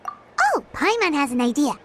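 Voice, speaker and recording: A woman voicing a small, excitable childlike fairy companion in a video game, cheeky and suspicious, with a squeaky voice. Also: normal speed, background noise. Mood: wry, suspicious. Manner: speaks of self in third person, exclamatory. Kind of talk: video game character acting. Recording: background music under the voice.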